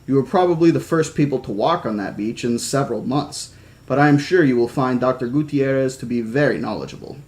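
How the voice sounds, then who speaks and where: A man's voice: mid-range voice, brisk, darkish tone, lightly acted. A man voicing a formal, reassuring male doctor in a storybook reading. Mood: measured, reassuring.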